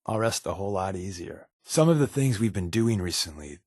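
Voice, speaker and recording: A sardonic undercover operative from a post-apocalyptic video game. He is a man with a slightly low voice, recorded dry and close, and his delivery is wry and playfully ironic. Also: animated, brisk, a little mellow, dry recording. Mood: thoughtful, earnest. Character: wisecracking, sarcastic male undercover agent in a post-apocalyptic wasteland. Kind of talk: video game companion dialogue.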